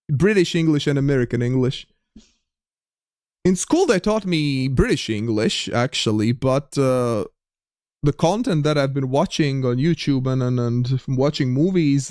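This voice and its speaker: A man's voice: medium pitch, a little mellow, no echo, clean studio sound. Chatty Latvian-accented man streaming. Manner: chatty, reads chat aloud, frequent restarts. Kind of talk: casual live-stream chat with viewers.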